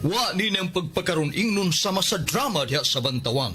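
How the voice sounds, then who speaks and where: A man's voice: high-pitched, expressive, a little fast. A man with a Cebuano accent narrating a scripted radio drama introduction in a dramatic style. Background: loud music.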